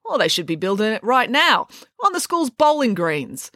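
Lady doing a matter-of-fact schoolboy, higher voice, totally unbothered, kids story character. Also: normal speed, no room sound, clean studio sound, full character voice. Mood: blithe, unbothered. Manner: blithe, calmly explaining.

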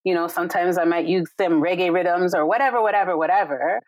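Low-voiced woman on a call. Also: fast talker, no room sound. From Jamaica. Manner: warm, reflective, frequent "right?" and "like". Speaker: guest, yoga and meditation teacher. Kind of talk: casual conversation on a video call. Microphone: video call.

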